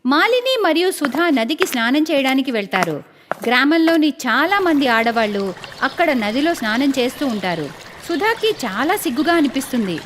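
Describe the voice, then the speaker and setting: A woman's voice: high voice, very animated, moderate pace, noisy background. A woman narrating a scripted moral story in a storytelling style.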